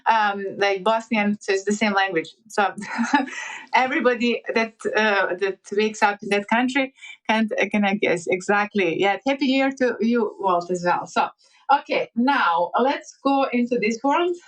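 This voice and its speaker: A woman from Montenegro, chatting haltingly with viewers on a piano livestream, full of fillers and restarts. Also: medium pitch, rough recording. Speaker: livestreaming pianist. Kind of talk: casual livestream chat reading comments.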